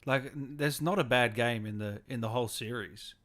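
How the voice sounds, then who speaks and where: A man's voice: mid-range voice, moderate pace, fairly bright tone. A man hosting a gaming interview, chatting casually and reacting briefly to his guest. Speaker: gaming show host, interviewer.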